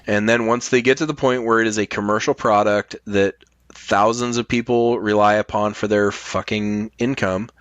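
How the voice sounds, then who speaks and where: A man's voice: fairly low pitch, fairly even intonation, moderate pace, a bit bright, no echo, muffled recording. A middle-aged man in casual discussion, arguing his opinions emphatically and with some swearing. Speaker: podcast guest.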